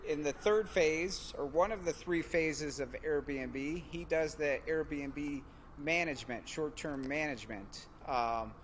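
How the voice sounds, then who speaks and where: Laid-back male podcast host, a bit high-pitched, faint hiss in the background. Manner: relaxed, with drawn-out phrases and fillers. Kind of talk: casual interview chat.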